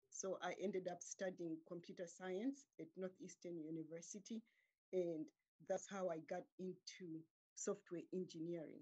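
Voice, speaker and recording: Casting: middle-aged woman from Zimbabwe, husky, measured, unhurried guest on a webcam call. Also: fairly low pitch, poor audio. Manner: calm, measured, with frequent um pauses. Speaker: guest artist. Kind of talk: conversational interview answers. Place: home room with curtains. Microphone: laptop webcam on a video call.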